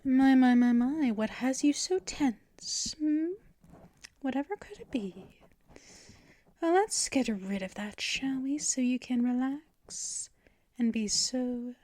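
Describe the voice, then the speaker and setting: A woman voicing a possessive female vampire mistress in an ASMR roleplay, talking in soft, teasing whispers up close to the mic. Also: high-pitched. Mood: soothing. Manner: slow, drawn-out delivery.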